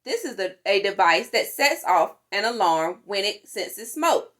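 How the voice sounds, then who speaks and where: A woman reading a children's safety book aloud in an animated, instructive manner. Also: mid-range voice, unhurried. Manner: animated, instructive, acts out sound words. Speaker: storytime reader.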